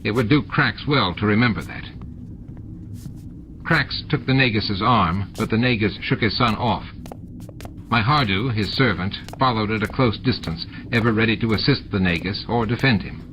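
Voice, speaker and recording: A man's voice: on the low side, moderate pace, sharp tone. A man reading scripted story narration as an audiobook narrator. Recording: noisy audiobook with added sound effects. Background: added sound effects and ambience.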